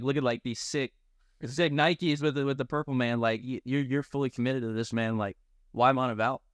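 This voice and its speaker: Fast-talking male podcast host. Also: mid-range voice, clean tone, dry recording, clean studio sound. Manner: chatty, informal, wordy. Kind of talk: casual interview questions.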